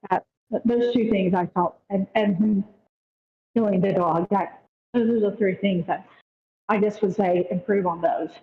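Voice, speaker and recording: A woman's voice: medium pitch, fairly even intonation, normal speed, vocal fry. Woman on slightly muffled call audio. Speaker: table read participant giving feedback. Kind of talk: casual spoken critique.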